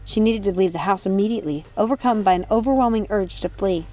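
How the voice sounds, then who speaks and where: A woman's voice: mid-range voice, fast, mellow. A woman reading an audiobook as narrator, in a dramatic scripted storytelling style. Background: music.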